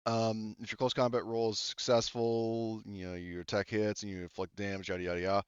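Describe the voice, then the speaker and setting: A man speaking casually and haltingly as the game master of a tabletop roleplaying game, thinking aloud. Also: fairly low pitch, little pitch movement, clear, resonant tone, no room sound, lo-fi sound.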